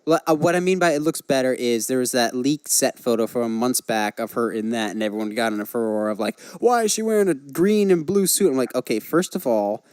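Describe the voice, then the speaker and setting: A man's voice: medium pitch, fairly quick, few pauses, a little mellow, clean tone, no room sound. A man hosting a podcast in casual, enthusiastic, conversational chat. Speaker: podcast host.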